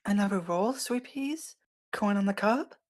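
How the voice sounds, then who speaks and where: A woman's voice: mid-range voice, lively intonation, unhurried, a little mellow, natural voice. A woman playing a sweetly doting yet sinister otherworldly mother in a screenplay table read, coaxing and playful. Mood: playfully doting. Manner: coaxing, playful, sing-song.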